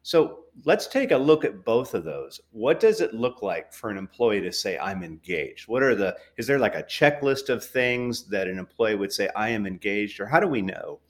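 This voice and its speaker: A man's voice: on the low side, very animated, normal speed, few pauses. An American man hosting an interview, chatting and rephrasing the guest's ideas to check them. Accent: General American. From United States. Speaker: podcast host. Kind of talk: interview questions.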